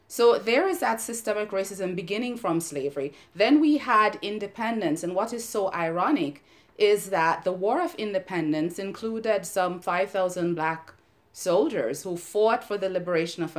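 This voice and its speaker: A middle-aged woman from Jamaica with a Jamaican accent, giving an earnest, measured personal talk as a podcast host and panelist. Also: mid-range voice. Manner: earnest, measured, with some emotion and restarts. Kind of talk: prepared personal talk on race.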